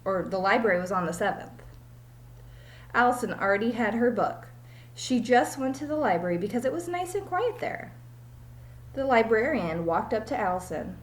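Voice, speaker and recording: Lively woman reading a children's story. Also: fairly high pitch, moderate pace. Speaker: teacher reading aloud. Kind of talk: children's storybook read-aloud. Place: small home room, indoors. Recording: echoey room, webcam mic.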